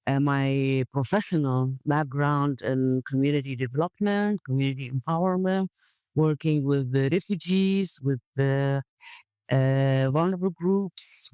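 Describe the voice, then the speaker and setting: A woman with a smooth, clear voice, recorded dry. She talks conversationally as an interview guest, plain and matter-of-fact. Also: very deep voice, a little slow, darkish tone, dry recording. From Gaza, Palestine. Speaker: podcast guest, community development worker.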